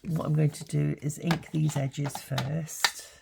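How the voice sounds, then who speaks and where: An older woman, croaky with hay fever, chatting casually as she works through a craft tutorial. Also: low voice, measured pace, heavy background noise. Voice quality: gravelly. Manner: chatty, relaxed, thinking aloud. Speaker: craft channel host.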